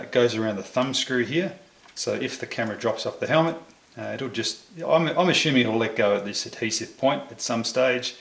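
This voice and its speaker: A man's voice: on the low side, creaky, a bit bright, roomy sound. A man giving a casual, step-by-step product review to camera in an informal, explanatory way. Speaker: motorcycle vlogger presenting a gear review.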